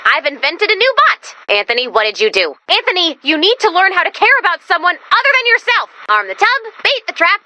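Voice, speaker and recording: A woman voicing a perky, brainy young female robot assistant in a video game, with an excitable, earnest, quick-witted delivery. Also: very high-pitched, heavily acted. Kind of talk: animated video game voice acting.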